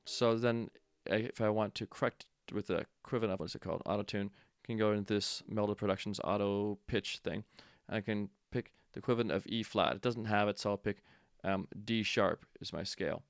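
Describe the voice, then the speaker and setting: A man's voice: on the low side, steady tone, fairly quick, gravelly, few pauses, clean tone, no room sound, clean studio sound. A man teaching audio production in a casual tutorial, explaining step by step and thinking aloud as he goes.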